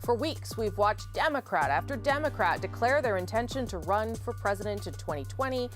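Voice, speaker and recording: A woman anchoring a nightly newscast in a clear, measured tone. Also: medium pitch, lively intonation, soft background music. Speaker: news anchor. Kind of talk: news anchoring.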